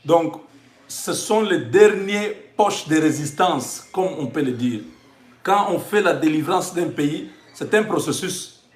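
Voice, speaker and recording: A man with a Congolese accent speaks in a high register at a slow, deliberate pace. He delivers an impassioned religious and patriotic address, building it from short, repeated phrases. The sound is echoey, with the room clearly audible around his voice. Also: lively intonation. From Democratic Republic of the Congo.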